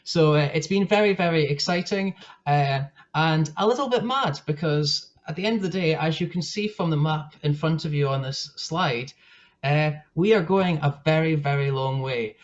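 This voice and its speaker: A man's voice: on the high side, normal speed. Chatty young man, northeast Scottish accent. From northeast Scotland. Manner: chatty, many fillers and restarts. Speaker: guest speaker. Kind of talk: presentation on a video call. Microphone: video call. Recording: dull lo-fi call audio.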